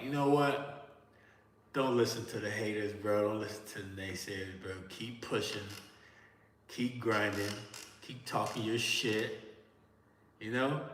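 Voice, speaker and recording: A man's voice: on the low side, a bit bright. A man from Miami with a Miami accent, chatting casually and profanely to his livestream viewers from an indoor room. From Miami, Florida. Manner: loose, slangy and profane, talking straight to chat. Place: indoor room, seated in a creaky chair. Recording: roomy echoey room with long reverb.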